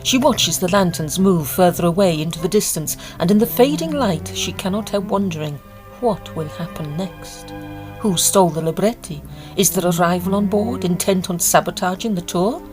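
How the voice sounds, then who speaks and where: A woman reads scripted narration for a period audio drama. Her voice is slightly low, with music clearly playing behind it. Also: normal speed.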